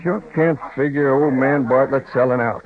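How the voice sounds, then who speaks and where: A man's voice: mid-range voice, natural voice. A man playing a drawling cowboy foreman. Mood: puzzled. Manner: slow, folksy cowboy talk. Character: puzzled, plain-spoken ranch foreman. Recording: tinny old radio broadcast.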